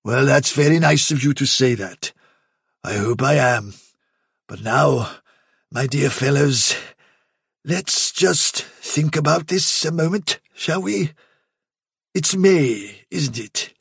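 A man voicing an elderly rabbit chief in a storybook, politely condescending, vague and evasive, with drawn-out, bumbling courtesy. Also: mid-range voice, animated, vocal fry, husky, dry recording, clean studio sound, voice pushed up, full character voice. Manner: bumbling, over-courteous, drawn-out patronising phrasing.

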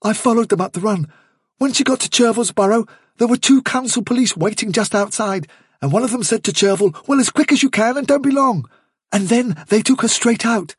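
A man's voice: extremely high pitch, fairly quick, breathy edge, no echo, studio quality, pitched above the performer's own voice. A man voicing a frightened female rabbit in an audiobook, anxious, pleading and urgent. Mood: panicked urgency.

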